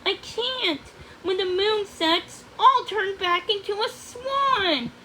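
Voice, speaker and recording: A woman's voice: very high voice, expressive, takes it slowly, sharp tone, slight background noise, voice pushed up, heavily acted, poor audio. A woman voicing a frightened young storybook princess who pleads with urgent emphasis.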